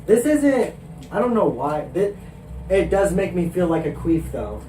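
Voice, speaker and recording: A man's voice: high voice, very animated, a little slow, dark tone, a little hiss or hum. A man with a nasal voice co-hosting a podcast, joking in casual crude banter. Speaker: podcast co-host. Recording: echoey room, mic at a distance.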